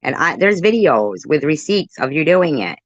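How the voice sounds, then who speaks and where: A woman's voice: sits low, very animated, normal speed, dry recording. Combative woman, dull dry recording. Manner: combative, swearing, cuts in to rebut. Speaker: channel host reacting to a clip. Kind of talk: heated commentary.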